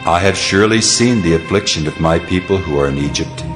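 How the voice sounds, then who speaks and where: A man's voice: sits low, brisk, over music, natural voice. A man playing God as a solemn, commanding divine figure in a dramatized scripture reading. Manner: solemn, authoritative, declamatory. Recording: long cavernous reverb.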